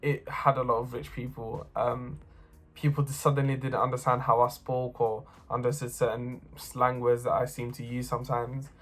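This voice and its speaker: A young man, a student giving a plain, earnest personal account with short pauses. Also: medium pitch, flat delivery, fairly bright tone, soft background music. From North London. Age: young adult. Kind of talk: personal testimony to camera.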